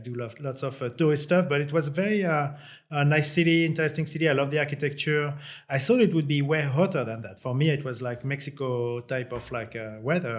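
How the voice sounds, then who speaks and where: A man from France, a company CEO, chatting as a podcast guest with lots of fillers and restarts. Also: medium pitch. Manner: hesitant, many fillers and restarts. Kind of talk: casual podcast conversation.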